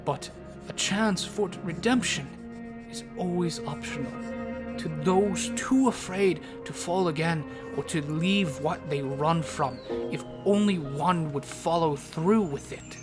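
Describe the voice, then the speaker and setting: A man narrating a scripted fantasy story in a solemn, measured, dramatic manner. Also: fairly high pitch. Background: music.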